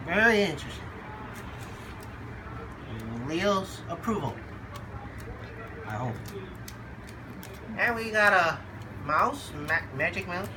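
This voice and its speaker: A stuffed-up vlogger with a small cold hosts a relaxed unboxing, talking slowly and pausing often on fillers. The voice sits in a middle register and is heard over steady background noise. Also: medium pitch. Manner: halting, lots of fillers, chats to the dogs; slow, laid-back. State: congested from a cold. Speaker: channel host unboxing a computer. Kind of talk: casual unboxing vlog.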